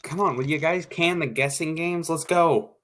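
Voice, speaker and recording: A man's voice: on the high side, moderate pace, fairly bright tone, lightly acted. A man playing an impatient radio director in a 1940s-style drama, brusque, slangy and commanding. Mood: impatient, bossy.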